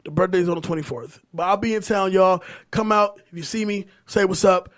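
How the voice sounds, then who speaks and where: High-voiced man hosting a podcast. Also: a little fast. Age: about 30. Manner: slangy, swearing. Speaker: podcast host. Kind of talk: casual hype podcast banter and plugs.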